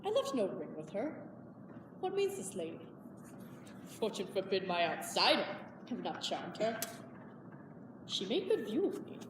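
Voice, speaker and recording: A woman's voice: medium pitch, sing-song, a little slow, long pauses. A woman performing Shakespearean verse as a young woman disguised as a man, speaking in a wondering, lyrical way. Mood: bewildered. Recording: echoey hall from far off, background noise.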